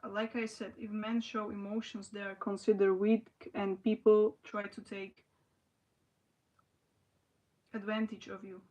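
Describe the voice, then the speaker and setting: A young woman talks casually to her livestream viewers. She speaks haltingly, starting sentences over and leaning on "you know". Her pitch sits in an ordinary middle range. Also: flat delivery, a little mellow, rough recording. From Slovakia. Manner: halting, restarts often, frequent "you know". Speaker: livestream host.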